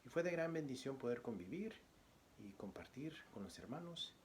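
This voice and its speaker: Man from Guatemala, church deacon, sermon, gentle and hesitant, mid-pitched, faint background hiss. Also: big pitch swings. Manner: soft-spoken, frequent pauses and fillers; louder, declamatory when reading scripture. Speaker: church deacon, guest preacher. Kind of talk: sermon introduction and scripture reading.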